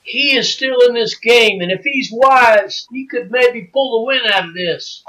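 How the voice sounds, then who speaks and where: An excitable male wrestling commentator with a very high, bright voice. He draws his words out slowly and breaks into shouts at the big moments. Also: rough recording. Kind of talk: play-by-play commentary.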